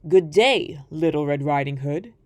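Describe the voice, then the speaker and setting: A woman's voice: sits low, measured pace, a little mellow, no room sound, pitched below the performer's own voice. A woman voicing a crafty, hungry male wolf in a storybook, coaxing and scheming. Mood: sly, probing.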